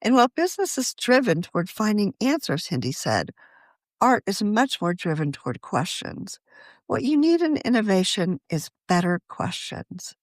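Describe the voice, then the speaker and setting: A woman reading a scripted magazine article aloud for a podcast in a measured, articulate way. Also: medium pitch, very animated, dry recording, clean studio sound. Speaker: magazine editor reading her own article.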